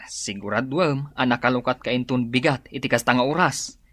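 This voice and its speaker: Radio drama role: nervous, stammering debtor, Ilocano accent, deep female register, very dry recording. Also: normal speed, fairly bright tone, dry recording. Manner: hesitant, stammering, whining. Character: shamefaced, nervous debtor, grown child hiding from creditors.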